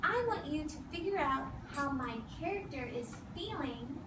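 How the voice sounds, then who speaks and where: A woman teaching and reading aloud to young children, giving firm short directions and deliberately repeating key phrases. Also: high voice, expressive. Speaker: elementary school teacher. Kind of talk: classroom read-aloud and instruction to young children. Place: classroom full of seated children. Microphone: distant camera across the room. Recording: echoey, noisy classroom recorded from far off.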